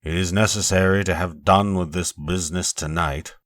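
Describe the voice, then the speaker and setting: A man voicing a stern, businesslike coroner in a storybook narration, speaking in a measured, formal, authoritative way. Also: deep voice, steady tone, a bit bright, no room sound, studio quality.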